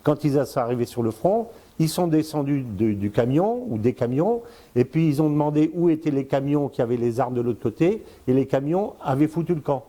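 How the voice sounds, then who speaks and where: Hesitant older man, mellow voice. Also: medium pitch, expressive, brisk. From born in Congo, lived in Africa, Spain, Brazil. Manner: hesitant, many fillers and repeated words; explains at length, addresses the listener directly. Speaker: strategic affairs commentator, guest. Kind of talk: casual spoken commentary.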